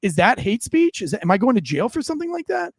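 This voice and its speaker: A man's voice: very high-pitched, sing-song, fast talker, creaky, dry recording, clean studio sound. A man on a podcast, ranting casually with lots of swearing and restarts. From United States. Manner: rambling, swearing, many restarts. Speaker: podcast co-host. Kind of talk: casual profane rant.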